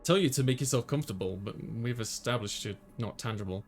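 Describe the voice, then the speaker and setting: A man's voice: medium pitch, fast talker. Man reading a visual novel aloud over music. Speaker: gaming video host.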